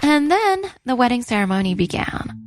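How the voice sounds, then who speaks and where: A woman's voice: on the high side, sing-song, normal speed. A woman playing a composed wronged ex-wife who narrates her revenge in a manga story dub. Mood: composed, storytelling. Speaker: narrator and lead character. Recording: music under the voice.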